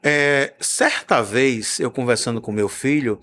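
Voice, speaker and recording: A man's voice: mid-range voice, measured pace, fairly bright tone. A man with a Brazilian Portuguese accent hosting a radio interview, asking long, winding questions with "não é?" tags.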